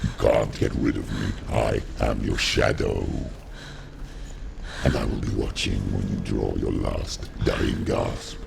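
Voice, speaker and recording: A man's voice: very deep voice, flat delivery, exaggerated voice. An actor playing a cruel, possessive male shadow demon in a video game, with a guttural, menacing voice. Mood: menacing, taunting. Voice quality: guttural, gravelly. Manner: slow menacing taunting. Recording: loud noise bed from game audio.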